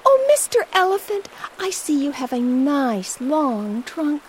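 A woman voicing a small, eager little male fish in a storybook tale, wishful and excitable. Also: a little slow, a little hiss or hum, poor audio. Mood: polite, eager. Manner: wishful and excitable, rising to squeaky panic.

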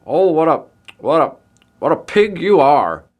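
A nervous candy maker from a children's story, played by a man. He speaks slightly high and animatedly, stuttering and doubling back on his words in a fluster. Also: very animated, measured pace. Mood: outraged. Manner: stammering, repeats words anxiously.

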